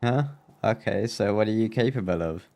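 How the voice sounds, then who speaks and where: A man's voice: lowish voice, moderate pace, gravelly, darkish tone. A man live streaming a game, reacting and commenting casually.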